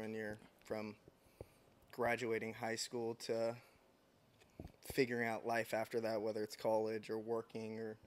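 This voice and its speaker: A man in his thirties from San Diego, a podcast guest talking in a reflective, unhurried way with frequent restarts. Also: medium pitch, clean tone. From San Diego, United States. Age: 30s. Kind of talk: casual interview chat.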